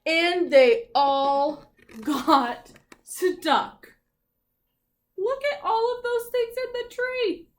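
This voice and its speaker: Animated, high-voiced woman reading to children. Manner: expressive, encouraging, pausing to question young listeners. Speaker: teacher reading aloud to children. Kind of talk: animated picture-book read-aloud with questions to listeners.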